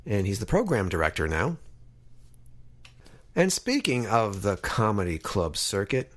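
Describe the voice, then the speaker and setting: A man's voice: lowish voice, sing-song, unhurried, a bit bright. A man of about fifty from Long Island, New York, hosting a podcast with introductions and casual interview questions.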